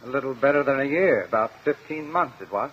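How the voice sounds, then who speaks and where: An actor playing a halting, evasive ex-convict on the stand in a 1950s radio courtroom drama. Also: male voice, mid-range voice, expressive, husky. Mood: defensive, uneasy. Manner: halting, evasive, plain-spoken. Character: defensive ex-convict houseman on the witness stand. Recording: muffled 1950 radio broadcast.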